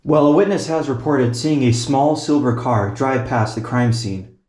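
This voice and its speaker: A man acts out a field investigator in an audio drama. He is sarcastic and easily exasperated, talking in a natural, middle-pitched voice. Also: moderate pace, a little mellow, close to an everyday voice. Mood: businesslike. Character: exasperated, sarcastic male field agent of a secret department.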